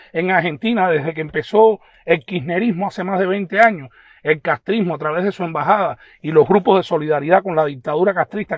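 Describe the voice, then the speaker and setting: High-pitched Cuban man, emphatic live commentary. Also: dry recording. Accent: Cuban, Havana. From Cuba. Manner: emphatic, insistent, repeats phrases. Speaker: host of an online news page. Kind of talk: live political commentary, answering viewers.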